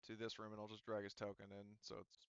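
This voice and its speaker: A man's voice: lowish voice, monotone, quick pace, fairly bright tone, clean tone, no room sound, muffled recording. A man casually narrating a tabletop roleplaying game as game master, rambling and thinking aloud as he improvises.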